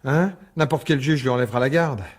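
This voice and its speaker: An actor playing a middle-aged, protective father in a French radio drama, husky, halting and emphatic. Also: medium pitch, lightly acted. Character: hesitant but protective father, quickly indignant.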